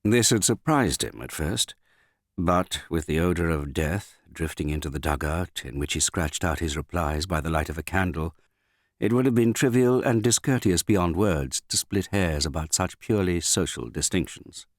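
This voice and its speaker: A man narrating a literary novel as an audiobook reader, with a measured, understated delivery and finely modulated voice. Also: deep voice, lively intonation, no echo, clean studio sound.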